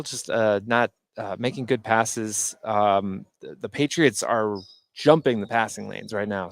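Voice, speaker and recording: Halting male basketball color commentator. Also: lowish voice, no echo. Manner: halting, repeats words while forming points. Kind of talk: live conversational sports analysis. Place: indoor basketball gym.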